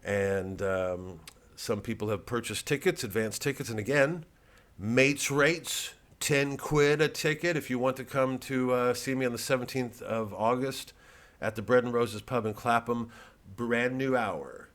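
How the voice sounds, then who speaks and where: A man who works as a comedian, talking in a casual, rambling vlog monologue with a deadpan delivery. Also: mid-range voice, a little slow. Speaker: comedian promoting his live show.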